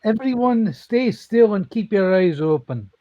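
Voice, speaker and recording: Old cartoon duck tycoon, played by a man, high voice, stern and defiant, muffled lo-fi recording. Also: lively intonation, measured pace. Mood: wary. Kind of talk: live comic dub acting. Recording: dull lo-fi audio.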